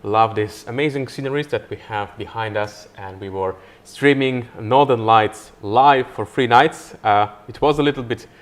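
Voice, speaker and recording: A man's voice: mid-range voice, normal speed, hardly any pauses. A man hosting a live podcast interview outdoors by a river, talking in a friendly, enthusiastic way with frequent fillers. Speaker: podcast host.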